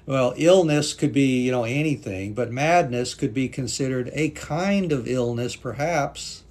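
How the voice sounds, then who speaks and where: A man's voice: mid-range voice, unhurried, a little mellow. A native English-speaking man teaching English on a live stream, patiently reading viewer comments aloud and thanking them. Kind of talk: live-stream language class chat.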